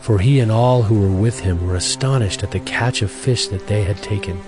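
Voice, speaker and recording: A man delivers scripted Bible narration in a fairly low voice. Music plays clearly behind his reading. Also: normal speed.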